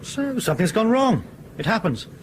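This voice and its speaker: A man's voice: sits high, sing-song, normal speed, a little hiss or hum. A man playing a polite but insistent, frustrated airline captain in a radio drama, sceptical and questioning. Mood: matter-of-fact. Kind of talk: broadcast radio drama acting.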